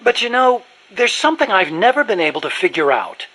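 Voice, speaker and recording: An actor playing an earnest, talkative American male nuclear scientist in a video game, explaining things in an animated manner. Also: high-pitched, fairly quick, exaggerated voice, rough recording. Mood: nostalgic, reflective.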